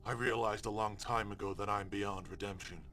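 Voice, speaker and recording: An actor playing a resigned, self-loathing fugitive recording a journal in an audio drama, grave and deliberate. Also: male voice, on the low side, steady tone, sharp tone. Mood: resigned, bleak.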